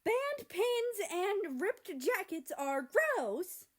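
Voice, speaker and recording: Woman playing a scornful high-pitched teenage girl. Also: sing-song, very slow, full character voice. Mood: disgusted yelling.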